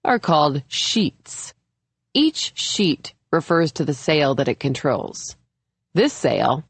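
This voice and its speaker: A woman's voice: low-pitched, big pitch swings, slow, slightly rough, no room sound, heavily acted. An easygoing man , as voiced by a woman narrator, coaches a beginner at sailing in audiobook dialogue. He is patient, encouraging and lightly teasing, and the sound is dry. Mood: instructive.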